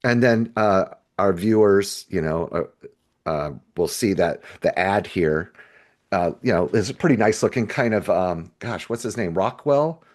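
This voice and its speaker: A man's voice: low-pitched, expressive, a little slow, a little mellow. A man co-hosting a podcast in casual, unscripted talk, hesitant and rambling as he thinks aloud. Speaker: podcast co-host. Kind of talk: casual unscripted discussion of a book chapter.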